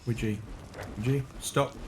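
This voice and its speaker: A man's voice: mid-range voice, steady tone, unhurried, noisy background. A male streamer giving casual gameplay commentary, thinking aloud and muttering to himself.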